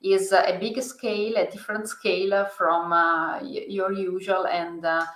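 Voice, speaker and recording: A woman hosting a live public talk, speaking haltingly with frequent pauses and fillers. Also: mid-range voice, little pitch movement, a bit bright. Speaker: event host and interviewer. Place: museum hall. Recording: echoey hall.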